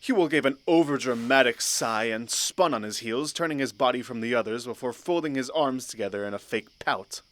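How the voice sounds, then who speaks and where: A man's voice: medium pitch, normal speed, hardly any pauses, fairly bright tone. A man narrating a scripted fantasy story. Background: faint background music.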